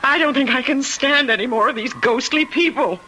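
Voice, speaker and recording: A woman's voice: high voice, moderate pace, bright, forceful tone, slight background noise, poor audio. An actress playing a dazed, fatalistic woman traveler with a dreamy, brooding delivery, in an old-time radio drama. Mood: fearful, fatalistic.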